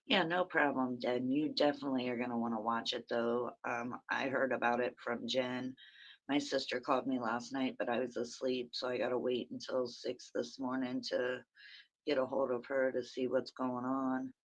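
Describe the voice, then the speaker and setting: A woman with a very raspy voice, hosting a rambling, chatty early-morning livestream from her phone and talking to viewers by name. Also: rumbling low, level tone, moderate pace, rough recording. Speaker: livestream host.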